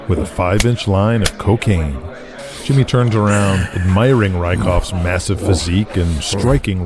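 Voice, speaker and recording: A man narrating an audio drama in a dramatic, scripted storytelling style. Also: low voice. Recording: loud music behind.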